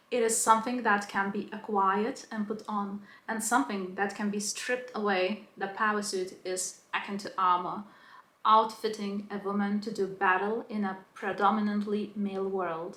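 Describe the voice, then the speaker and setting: A woman's voice: mid-range voice, fairly even intonation, normal speed, fairly bright tone, roomy sound. A woman talking in a chatty, opinionated way, as in a casual explanatory video essay. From Lithuania. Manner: chatty, opinionated, mild swearing.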